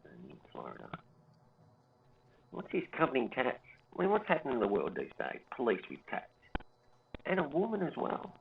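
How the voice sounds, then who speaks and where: A man's voice: mid-range voice, wildly swooping pitch, moderate pace, vocal fry, pauses often. A man hosting a livestream, rambling and joking sarcastically as he comments over a video. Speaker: livestream host. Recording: tinny phone-like audio.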